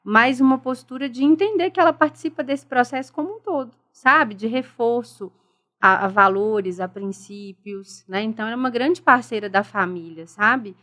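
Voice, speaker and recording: A woman's voice: a little high, normal speed, bright, forceful tone. A middle-aged woman with a Brazilian Portuguese accent, speaking earnestly and reflectively as a guest in a casual podcast interview. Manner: earnest, reflective, stresses respect and dialogue. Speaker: guest, school director.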